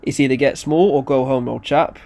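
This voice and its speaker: A storyteller voicing a cocky, evasive, fast-talking man who manages clients, slangy, brazen and repeating himself. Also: mid-range voice, fairly even intonation, gravelly, a little mellow, lightly acted. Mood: casual.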